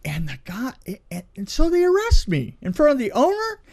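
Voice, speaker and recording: Middle-aged man, high voice, swooping intonation, dramatic anecdote telling, emphatic repeats, conversational. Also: huge pitch swings, normal speed, gravelly, a little mellow. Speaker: podcast co-host telling anecdotes. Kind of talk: animated casual storytelling.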